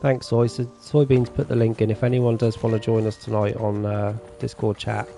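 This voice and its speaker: A man's voice: on the low side, normal speed, vocal fry, soft background music. A man streaming a farming game, chatting casually with his viewers and reading their messages aloud. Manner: relaxed, chatty, reads chat aloud. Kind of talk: casual chat with viewers while gaming.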